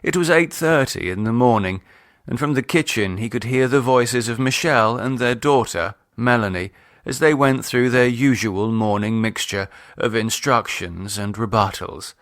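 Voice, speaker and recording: A man's voice: mid-range voice, moderate pace, a bit bright, no room sound, clean studio sound. A man with a British accent reading scripted audiobook narration as its author and narrator.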